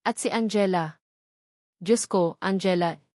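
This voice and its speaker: A woman's voice: medium pitch, a little slow, darkish tone, dry recording, natural voice. An anguished male doctor from a romance audio story, voiced by a woman narrator. He speaks as a betrayed lover, wounded and angry. The recording is close and dry. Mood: bitter, angry.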